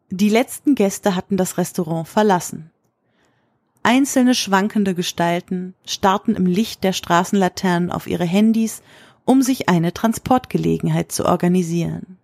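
A woman's voice: on the low side, unhurried, creaky, a little mellow, dry recording, studio quality. A woman narrator delivering scripted prose for an audio drama.

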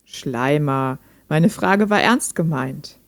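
A woman's voice: low-pitched, animated, takes it slowly, heavily acted. A woman playing a lonely, reserved hobby programmer in an audio drama, speaking in a dry, direct way. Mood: teasing, earnest. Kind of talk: amateur audio drama dialogue, read chat.

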